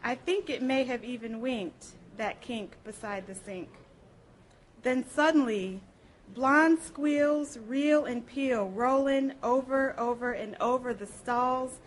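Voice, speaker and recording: A woman giving an expressive live reading with a theatrical, rhythmic delivery. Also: a little high, slow pace, rough recording. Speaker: reader at a public library program.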